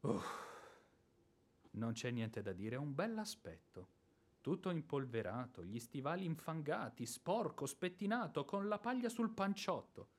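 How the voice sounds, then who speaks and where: A blustering, high-pitched ex-officer. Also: male voice, very animated, measured pace. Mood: grumbling, weary. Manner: blustering, theatrical, shifting from muttering to shouting. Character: boorish, hot-tempered ex-officer creditor, explosive and sarcastic. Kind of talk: radio drama stage acting.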